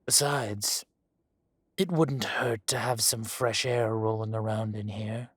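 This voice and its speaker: A smug dragon crime lord, drawls slowly with a husky, breathy voice. He teases and threatens in the same unhurried tone, often sinking into a low whisper. The sound is close and dry. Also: steady tone, fairly bright tone, no echo, exaggerated voice. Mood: mocking. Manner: slow, smug, teasing, drops to whispers. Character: smug dragon mafia boss, teasing and menacing. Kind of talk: audio roleplay acting.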